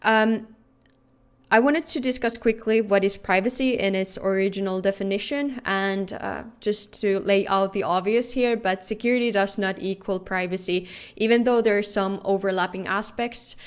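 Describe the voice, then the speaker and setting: A woman's voice: medium pitch, fairly even intonation, moderate pace, clean tone. A woman from Finland, a native Finnish speaker, giving a prepared conference talk in an earnest way, with frequent fillers and restarts.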